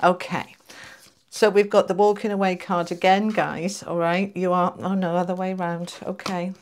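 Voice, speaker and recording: A woman's voice: fairly low pitch, fairly even intonation, moderate pace, slight background noise. Congested chatty woman reading tarot. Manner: chatty, reassuring, addresses viewers as guys. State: congested from allergies. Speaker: tarot reader. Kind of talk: casual tarot reading to camera.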